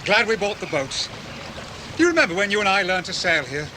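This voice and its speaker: Radio play: hearty, teasing dad, high-pitched, over clear boat and water noise. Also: male voice, very animated. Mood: fond. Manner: brisk, hearty, gently teasing. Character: genial father teaching his children sailing, calling orders. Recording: noisy outdoor water and boat sounds.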